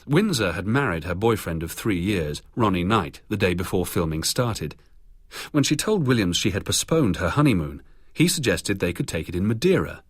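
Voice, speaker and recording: A man's voice: deep voice, sing-song, normal speed, husky, no room sound, clean studio sound. A British man narrating a scripted biography for radio.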